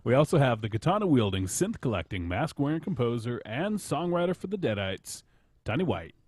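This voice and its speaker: A man's voice: medium pitch, sing-song, brisk, a little mellow, slightly rough, no room sound, clean studio sound. A man hosting a podcast in casual banter, teasing his friends crudely and swearing freely. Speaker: podcast host.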